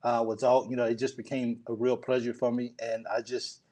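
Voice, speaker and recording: A man's voice: mid-range voice, flat delivery, fairly quick, warm tone. A middle-aged man from Kentucky, chatting casually as a podcast guest in a folksy, repetitive, emphatic way. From Kentucky, United States. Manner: folksy, repeats phrases for emphasis. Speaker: podcast guest, sports fan show host. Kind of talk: casual chat.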